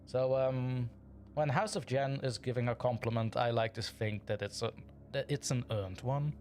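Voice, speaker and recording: A man's voice: mid-range voice, fairly even intonation, moderate pace, darkish tone, a little hiss or hum. Chatty man hosting a livestream. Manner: chatty and playful, often chuckling. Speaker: livestream host reading chat. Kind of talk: casual livestream chat.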